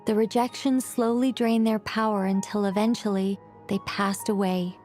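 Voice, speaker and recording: A woman playing a gentle, learned sage in a video game, recounting ancient lore in a measured, articulate storytelling manner. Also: mid-range voice, little pitch movement, faint music behind, close to an everyday voice. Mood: solemn. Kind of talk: scripted video game narration.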